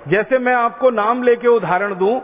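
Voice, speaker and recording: Very high-voiced man lecturing in a hall. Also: normal speed. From India. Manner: rhetorical, builds points with repeated parallel phrases. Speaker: public lecturer. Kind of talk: impassioned public lecture. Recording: tinny phone-like sound in a reverberant hall.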